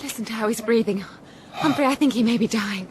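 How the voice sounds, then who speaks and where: A woman playing an anxious, compassionate gentlewoman at sea in a radio drama. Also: fairly high pitch, brisk, noisy background, close to an everyday voice. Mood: alarmed, caring.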